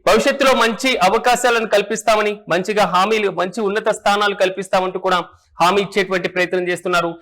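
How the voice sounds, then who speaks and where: A man's voice: high-pitched, steady tone, quick pace, fairly bright tone. A man presenting news and political analysis in a formal, repetitive manner.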